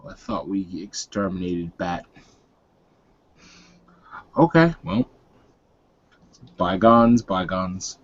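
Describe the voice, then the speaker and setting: Man as a gruff werewolf pack leader, low-pitched, dry, teasing, deliberate, roleplay game, muffled recording. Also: big pitch swings, exaggerated voice. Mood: wry, resigned.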